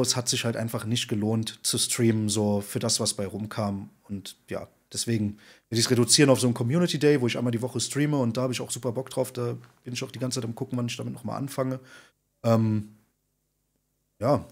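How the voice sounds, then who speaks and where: A man in his thirties with a slightly rough, husky voice at an average pitch. He talks freely and informally as a guest on a podcast video call, leaning on slang and emphasis to make his points. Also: steady tone, quick pace. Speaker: podcast guest, content creator and streamer. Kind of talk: casual interview chat. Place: indoor room, video call.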